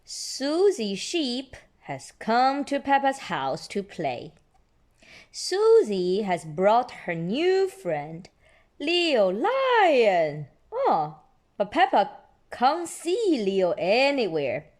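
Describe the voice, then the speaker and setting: A woman's voice: on the high side, wildly swooping pitch, extremely slow, a little mellow. A woman reading picture books aloud for young children and explaining things simply. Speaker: storybook reader.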